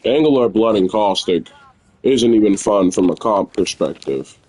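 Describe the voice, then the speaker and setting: Young guy talking while gaming, kinda low voice, loud and rambly, lots of ums. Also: expressive, normal speed, slightly rough, poor audio. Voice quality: naturally loud voice. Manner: rambling, candid, filler-heavy. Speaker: gamer streamer. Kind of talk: casual gameplay commentary.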